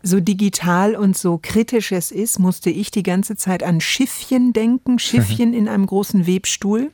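A woman's voice: medium pitch, unhurried, mellow, no room sound, studio quality. A woman co-hosting a radio programme, reflecting conversationally. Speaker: radio podcast co-host.

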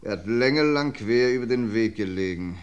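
An actor playing a calm, methodical police inspector in a vintage radio crime drama. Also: male voice, fairly low pitch, normal speed, smooth, highs cut off, close to an everyday voice. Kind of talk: 1950s radio play acting.

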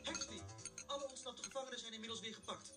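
An actor playing a relentlessly cheerful morning radio DJ who reads the news briskly in a drama. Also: male voice, extremely high pitch, loud music behind the voice. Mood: chirpy, upbeat. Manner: chirpy, brisk.

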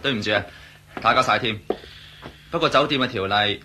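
An actor playing a pushy, ingratiating hotel waiter in a radio drama, glib and familiar, with a Hong Kong Cantonese accent. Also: male voice, medium pitch, fairly quick, background noise, lightly acted. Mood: apologetic, chatty.

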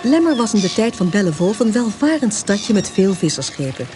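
Female narrator, actress for historical audio drama: measured, literary storyteller, mid pitch, light background noise. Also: sing-song, lightly acted. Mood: matter-of-fact. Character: storyteller of a historical smuggling tale. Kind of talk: scripted radio drama narration.

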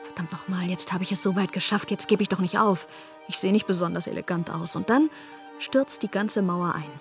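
A woman's voice: lowish voice, brisk, faint music behind, close to an everyday voice. A woman voicing a dogged, blunt narrator. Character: determined, sweating woman tearing down a wall. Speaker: first-person narrator. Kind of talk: radio drama narration.

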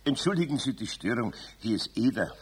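A man playing a kindly, grumbling older Bavarian master carpenter with a husky, gravelly voice in a children's radio play. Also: medium pitch, animated, a little fast, noisy background, close to an everyday voice. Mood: polite.

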